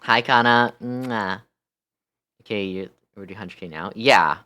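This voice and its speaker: A young American man chatting casually as a live streamer, ranting in an animated, exasperated way and swearing freely. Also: lowish voice, unhurried. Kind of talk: casual livestream chat.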